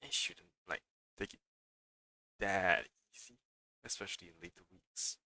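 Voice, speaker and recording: A man's voice: low-pitched, a little slow, no echo, studio quality. A young man chatting casually and animatedly as he livestreams a video game. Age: young adult. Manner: animated, chatty, reacting to gameplay. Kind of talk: casual livestream chat while playing. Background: video game music.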